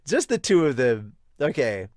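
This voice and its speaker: A man hosting a manga review, giving casual read-along commentary with amused, excitable asides. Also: mid-range voice, moderate pace, dry recording, studio quality. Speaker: host of a manga review podcast.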